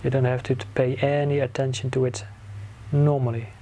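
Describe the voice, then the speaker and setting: A man leading a guided meditation in a soothing manner, giving gentle instructions in short phrases with long pauses between them. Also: mid-range voice, flat delivery. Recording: clear background noise, ambient sound.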